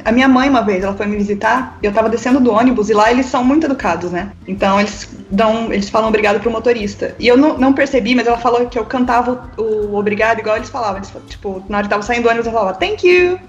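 A woman's voice: fairly high pitch, very fast, few pauses, roomy sound. A woman from Brazil co-hosting a casual podcast, telling light anecdotes with laughter. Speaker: podcast co-host. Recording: dull lo-fi sound, faint music.

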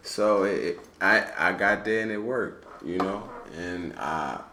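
A young man as a guest in casual chat, telling stories in a relaxed, slangy way. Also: low voice, measured pace. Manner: relaxed slangy storytelling, many "you feel what I'm saying". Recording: echoey room with faint noise.

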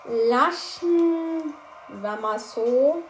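A woman's voice: high-pitched, animated, drawn-out words, faint music behind. A young woman giving casual, playful commentary while she plays a game, often tripping over her words. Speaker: gameplay commentator. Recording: echoey room with noise.

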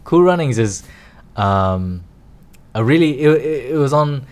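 A man from the UK, co-hosting a casual podcast chat, animated and teasing, laughing often. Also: mid-range voice, measured pace. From United Kingdom. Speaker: podcast co-host.

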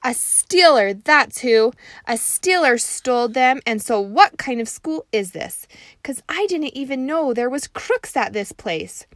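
A woman voicing an excitable, dramatic six-year-old girl who talks loudly and with a squeal, as she reads a storybook aloud. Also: mid-range voice, moderate pace, hardly any pauses, dry recording, clean studio sound, natural voice. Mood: indignant.

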